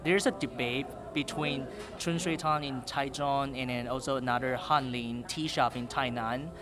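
Young man, student leader, casual interview answers, mid pitch. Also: moderate pace, over music. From Taiwan. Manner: casual, relaxed, with "like" fillers. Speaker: student association president.

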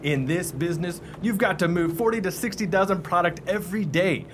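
A man's voice: high voice, a little fast, fairly bright tone, slight background noise. A man playing a pushy, cheerfully overconfident ice cream truck driver in a radio play, with folksy, brash salesman patter. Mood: boastful, gung-ho.

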